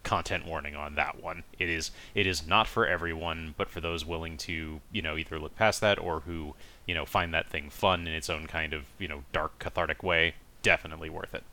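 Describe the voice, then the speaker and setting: Man, very deep voice, bright forceful tone, podcast co-host, casual discussion, measured, explanatory. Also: fairly even intonation. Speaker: podcast co-host reviewing anime.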